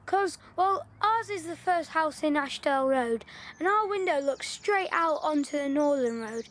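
A woman playing a lively, knowing wartime schoolboy in an audio drama. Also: high voice, moderate pace, slight background noise. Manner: chatty, excitable storytelling.

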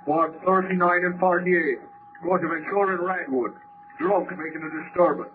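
A clipped male police dispatcher in a vintage radio play. His high voice comes officially over a police radio, tinny and full of noise. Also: normal speed. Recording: noisy, tinny old police-radio broadcast.

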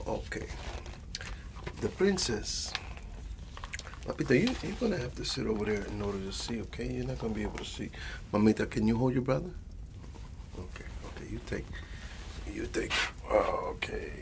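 A man playing an affectionate old grandfather with a Latin American Spanish accent, softly telling a bedtime story in an ASMR roleplay, rambling and repeating endearments as he switches between Spanish and English. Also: medium pitch, lively intonation, normal speed, pauses often, background noise, natural voice. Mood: patient, storytelling.

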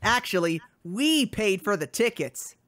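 A woman's voice: mid-range voice, very animated, unhurried, a bit bright. A woman voicing a grumpy, unimpressed male cartoon duck in a comic dub. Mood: grumbling. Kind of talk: live comic dub acting.

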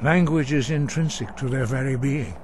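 An actor playing a solemn, reverent elder monk mentor in a fantasy video game, speaking in a measured, formal manner. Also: male voice, mid-range voice, noisy background, natural voice. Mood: grave, instructive.

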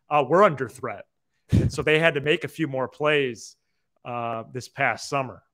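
A man's voice: a little high, bright, forceful tone. A young man hosting a sports podcast in a casual style, on a close microphone in a small room. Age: young adult. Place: small indoor room on a video call. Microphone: close podcast microphone with headset.

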